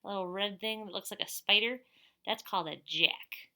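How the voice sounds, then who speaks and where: A middle-aged woman with a bright, crisp-edged voice at an average pitch reads a children's book aloud. She is expressive and teacherly, slipping between the story and casual comments or questions for her young listeners. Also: normal speed.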